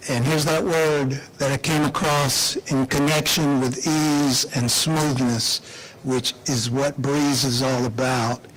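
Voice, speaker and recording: A man speaking as an official at a public announcement, deliberate and emphatic, repeating phrases with rhetorical pauses. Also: a little high, a bit bright, rough recording. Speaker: airport official giving an announcement speech. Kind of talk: public speech at a press announcement.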